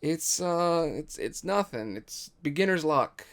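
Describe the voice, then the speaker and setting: A man's voice: fairly high pitch, lively intonation, slow pace, pitched above the performer's own voice. A man voicing a frustrated, self-doubting young archer who pleads and hesitates, in a dramatic story reading. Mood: bashful.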